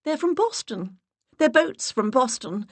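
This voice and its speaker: A woman narrator voicing a giggly woman who puts on a high, ditzy, exaggerated English-accented act. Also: sing-song, measured pace, dry recording, full character voice. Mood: gushing, coy. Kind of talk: audiobook character voice.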